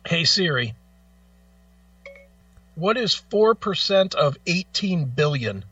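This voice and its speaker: High-voiced blunt man, dull distant sound. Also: very animated, takes it slowly, pushed and bright, dry recording. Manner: blunt, profane. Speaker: radio show co-host. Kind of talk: casual opinionated talk.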